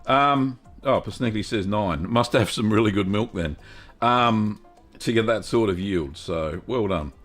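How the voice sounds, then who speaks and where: A fairly low-voiced middle-aged man talks through a relaxed live stream. He is chatty and upbeat, often restarting his sentences. Soft music sits faintly in the background. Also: a little slow. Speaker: cheese-making livestream host. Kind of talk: casual live-stream chat.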